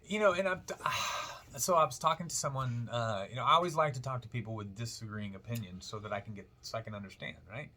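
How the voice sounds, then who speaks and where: A man's voice: medium pitch, fast, sharp tone. A man co-hosting a casual radio show about money, upbeat and joking. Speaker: radio show co-host, loan officer.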